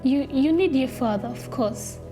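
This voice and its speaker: A woman's voice: high voice, normal speed, music in the background. A young woman from Somalia, speaking as a student giving a personal interview. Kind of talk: interview testimony.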